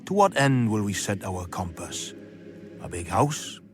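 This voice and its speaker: An actor playing a wry, worldly narrator in a radio drama, with a husky voice and sardonic, rhetorical delivery. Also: male voice, lowish voice, very animated, unhurried, faint music behind. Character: wry, worldly, philosophising male storyteller.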